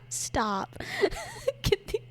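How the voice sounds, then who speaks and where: A young woman gaming streamer giving casual commentary from home, giggling, swearing a lot and breaking into loud startled outbursts. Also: extremely high pitch, slight background noise. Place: home room. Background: game music.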